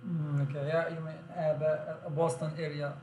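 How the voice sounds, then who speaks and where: Halting non-native man, echoey room. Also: a little high, level tone, dark tone, slight background noise. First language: not English. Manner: halting, questioning, broken grammar. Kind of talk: casual conversation.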